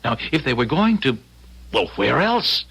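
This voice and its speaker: An actor in an old-time radio drama playing an earnest, desperate man, urgent and halting in his speech. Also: mid-range voice, sing-song, gravelly, heavy background noise. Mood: determined, tense. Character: earnest, desperate everyman trying to prevent a crime.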